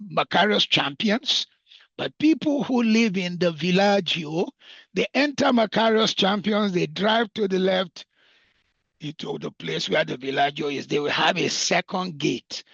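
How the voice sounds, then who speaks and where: A Nigerian man with a high-pitched voice that is husky and rough at the edges. He talks as a developer pitching his estate unscripted, driving points home with repetition and lists. The recording is dry and somewhat dull. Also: bright, forceful tone, no room sound. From northern Nigeria. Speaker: property developer presenting an estate. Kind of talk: unscripted promotional talk at a roundtable.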